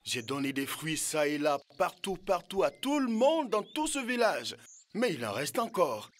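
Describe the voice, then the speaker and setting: Casting: fatherly old cartoon villager, very animated intonation. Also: high voice, a little slow. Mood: surprised, genial. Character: kindly old village man with surplus fruit. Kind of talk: animated educational cartoon dialogue.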